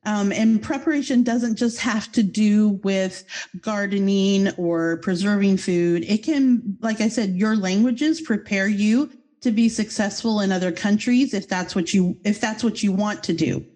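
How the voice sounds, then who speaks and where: A woman's voice: medium pitch, a little slow, warm tone. A woman hosting a podcast interview, effusive and a little goofy. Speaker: podcast host.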